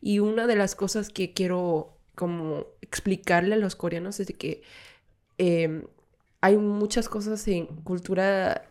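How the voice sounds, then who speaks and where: A Korean-born woman whose first language is Korean, a guest in a casual podcast chat, thoughtful and quick to laugh, often restarting words. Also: medium pitch, steady tone, a little mellow.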